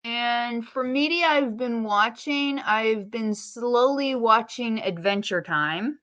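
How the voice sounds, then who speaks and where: A woman's voice: on the high side, slow pace, fairly bright tone, no room sound, dull audio. A woman co-hosting a casual podcast, chatty and opinionated, with wry, rambling asides. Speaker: podcast co-host.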